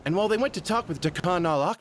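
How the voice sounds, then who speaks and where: A man playing an excitable, outspoken young mercenary in a video game, blunt and animated. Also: high-pitched, rapid-fire, a little hiss or hum. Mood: matter-of-fact. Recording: game music underneath.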